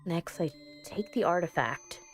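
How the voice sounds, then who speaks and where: A woman's voice: fairly low pitch, lively intonation, a little slow, soft background music. Woman reading as an earnest, solemn visual novel narrator. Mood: careful. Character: earnest, anxious narrator summoning a ghost girlfriend.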